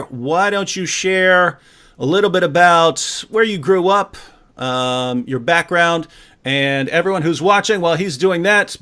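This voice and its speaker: A man hosting a live-streamed talk show, jokey and energetic as he introduces his guest and relays viewer comments. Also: on the high side, smooth. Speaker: host.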